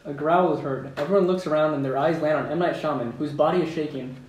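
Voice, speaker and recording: A man with a slightly high voice reads out stage directions at an amateur table read, plainly and a little amused. He sits away from the mic in an echoey room, with faint noise behind him. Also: quick pace, a little mellow. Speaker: narrator reading stage directions. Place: room at home around a wooden table. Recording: roomy, distant mic with background noise.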